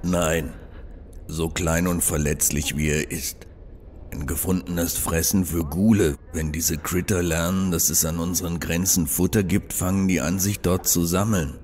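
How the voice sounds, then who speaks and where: A man's voice: deep voice, fairly even intonation, a little slow, darkish tone, slight background noise. An actor playing a big, loyal male troll guard in a fantasy audio drama, with a weighty delivery. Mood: calm, steady. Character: big deep-voiced male troll guard, loyal husband, plain-spoken.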